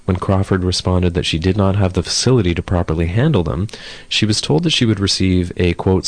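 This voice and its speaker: Brief: hoarse radio host, man, very low voice, dry studio sound, steady news reads and relaxed interview chat. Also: no echo. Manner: reads news steadily, then chats with fillers. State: hoarse from a cold.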